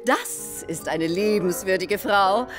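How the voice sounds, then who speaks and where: An actress playing an imperious, scornful older society hostess in a radio play, conspiratorial and dramatic in manner. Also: female voice, mid-range voice, slow pace, over music, natural voice. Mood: scornful, weary. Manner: conspiratorial, commanding, dramatic, sinking into hushed endings. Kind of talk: German radio play acting.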